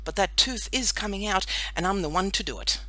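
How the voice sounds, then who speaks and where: A woman's voice: medium pitch, brisk, sharp tone, close to an everyday voice, rough recording. A woman voicing a cocky, scheming little farm boy, boastful and eager, in a children's audiobook. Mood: determined.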